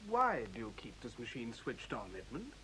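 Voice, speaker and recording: A man's voice: medium pitch, huge pitch swings, normal speed, pushed and bright. An actor in a radio play voicing an urbane, drily amused male academic. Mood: dry, amused. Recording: faint hiss and room ambience.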